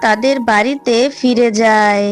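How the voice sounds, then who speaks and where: A woman narrates a children's cartoon story in a scripted storytelling style. Her voice is somewhat high and her delivery unhurried, with faint music underneath. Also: steady tone, smooth. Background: background music.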